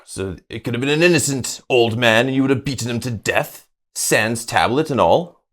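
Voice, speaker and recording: A man playing a stern, imposing draconic man in a tabletop game, speaking in a grave, deliberate, accusing manner. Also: mid-range voice, a bit bright, close to an everyday voice. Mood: stern, indignant.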